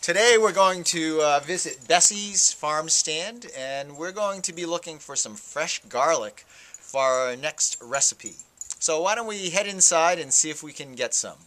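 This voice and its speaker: A man hosting a cooking class, chatting casually inside a farm stand and pausing on many "uh" fillers. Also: fairly high pitch, lively intonation. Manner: friendly, halting, many "uh" fillers. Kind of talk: casual interview on a farm visit. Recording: echoey room with loud background noise.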